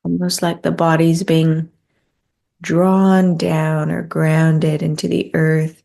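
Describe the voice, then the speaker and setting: A woman leading a guided meditation in a gentle, soothing, unhurried manner. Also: sits low, level tone, gravelly.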